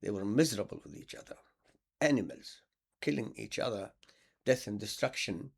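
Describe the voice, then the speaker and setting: Male preacher, gravelly and animated, sarcastic and repetitive. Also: fairly low pitch, moderate pace. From Palestine. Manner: sarcastic, repeats words for emphasis. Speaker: Islamic preacher. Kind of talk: impassioned monologue.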